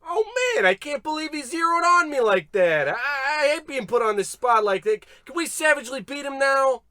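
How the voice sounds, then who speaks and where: An actor playing a nervous, slow-witted sidekick who sounds anxious and complaining, in old radio comedy style. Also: male voice, very high-pitched, big pitch swings, bright, forceful tone, no room sound, studio quality, heavily acted. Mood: whiny.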